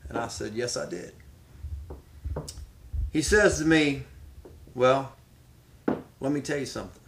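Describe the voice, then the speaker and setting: A man's voice: medium pitch, a bit bright. A middle-aged man, speaking as a pro wrestler making his case with dramatic, emphatic repetition. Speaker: professional wrestler. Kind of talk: promotional speech answering questions.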